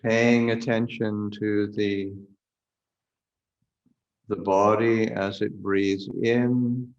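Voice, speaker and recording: A man leading a guided meditation as a Buddhist teacher, speaking haltingly with long pauses as he searches for words. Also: fairly low pitch, level tone, lo-fi sound. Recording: roomy, echoing livestream recording.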